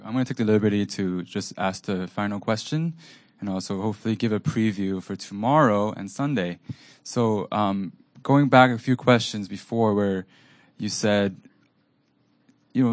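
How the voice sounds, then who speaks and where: A man whose first language is Cantonese, moderating a panel and asking a long, searching question with many pauses and ums. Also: on the low side, no echo. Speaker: event moderator.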